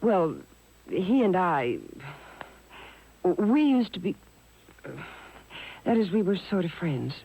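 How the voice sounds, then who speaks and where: A woman's voice: on the low side, big pitch swings, slow pace, pauses often, warm tone, a little hiss or hum, full character voice, poor audio. A woman playing a scheming, frightened young blonde in a 1950s radio drama, hesitant and coy. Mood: hesitant, evasive.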